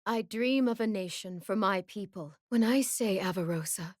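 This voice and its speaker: A woman's voice: mid-range voice, moderate pace, a little mellow, no echo, clean studio sound, close to an everyday voice. A woman playing a proud, resolute young warrior queen of a frozen northern tribe in a video game, with a solemn, declamatory delivery. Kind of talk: video game character voice lines.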